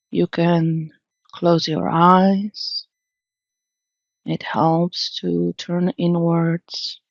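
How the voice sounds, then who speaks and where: A woman leading an unscripted guided meditation in a calm, soothing manner, leaving long pauses between phrases. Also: fairly low pitch, creaky, no echo, dull audio.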